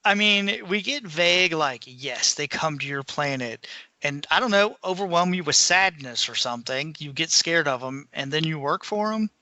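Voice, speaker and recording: A male podcast co-host speaks in a fairly high, very bright and forceful voice. He chats informally about books, recorded close and dry. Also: expressive, moderate pace, no echo. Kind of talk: casual book discussion chat.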